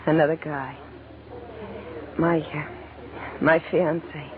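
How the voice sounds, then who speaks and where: An actress playing a sharp-tongued but naive young office girl in a 1940s radio drama, sassy and guarded, quick with retorts. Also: deep voice, fairly even intonation. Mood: reserved. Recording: tinny, noisy vintage radio recording.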